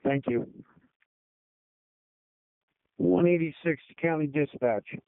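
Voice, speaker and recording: A man from a highway crew calling county dispatch over two-way radio. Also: normal speed, no room sound. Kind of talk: radio traffic. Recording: muffled narrow-band two-way radio.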